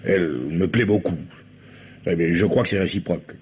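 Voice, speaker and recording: An actor playing an earnest, disgusted undercover policeman with a soft heart in an old French radio crime drama, speaking deferentially in a husky voice. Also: low voice, steady tone, fairly quick. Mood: troubled. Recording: dull lo-fi old radio recording.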